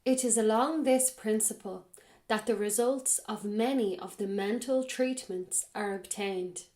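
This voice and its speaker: A woman hosting a podcast, reading a spiritual text aloud in a slow, measured way and then commenting on it, her voice a little raspy from a cold. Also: mid-range voice, darkish tone. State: has a cold. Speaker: podcast host.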